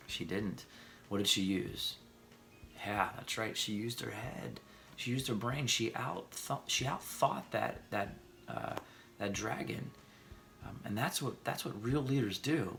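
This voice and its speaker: Man, deep creaky voice, children's storybook reader, expressive, emphatic, soft music underneath. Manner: expressive, emphatic, addresses young listeners directly. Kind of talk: bedtime read-aloud for children.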